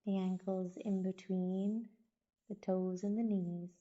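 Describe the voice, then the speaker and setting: A woman guiding a body scan meditation as a yoga teacher, giving gentle, soothing instructions with long pauses. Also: mid-range voice, level tone, dull audio.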